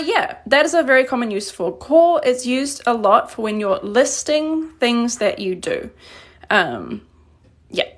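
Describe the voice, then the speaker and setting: A woman's slightly high voice with a noticeable gravelly fry runs through this live lesson. She explains sentence by sentence, praising viewers' answers and taking their questions as she goes. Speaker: Korean language teacher. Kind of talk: live online grammar lesson. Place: indoors at a desk. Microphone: phone camera above a desk.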